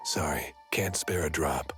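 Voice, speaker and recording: An actor playing a paranoid, self-important neighborhood watch man in a video game, raspy, terse and suspicious. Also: a little slow, fairly bright tone, faint music behind. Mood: secretive, stern. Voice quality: very deep gravelly rasp.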